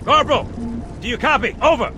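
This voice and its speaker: A man acting a gruff, bossy marine sergeant in a video game cutscene, barking commands. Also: very high-pitched, brisk, noisy background. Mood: stern, impatient. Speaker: military squad leader.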